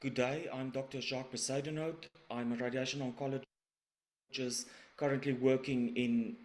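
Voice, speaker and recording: A man from South Africa gives a prepared talk at a webinar. He reads steadily and formally, in a voice of middling pitch. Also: fairly even intonation, a little hiss or hum. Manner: measured, formal delivery. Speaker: radiation oncologist presenter. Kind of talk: scripted presentation. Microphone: webinar recording.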